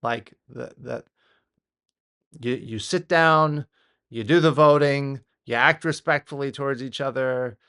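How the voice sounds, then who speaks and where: Exasperated American man with mid-pitched voice. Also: bright, forceful tone, clear, resonant tone. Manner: halting, repetitive, exasperated. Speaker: political commentator. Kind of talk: off-the-cuff reaction commentary.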